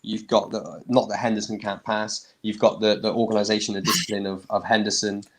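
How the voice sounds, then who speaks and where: Male guest panelist, low and blunt, faint hiss under the line. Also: flat delivery, brisk. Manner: blunt, opinionated. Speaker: guest panelist, Spurs fan. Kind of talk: casual football chat.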